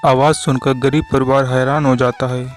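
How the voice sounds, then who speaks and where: A man narrates a cartoon story in a scripted storybook style. His pitch sits in the middle and moves little, with music playing behind him. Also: little pitch movement, moderate pace.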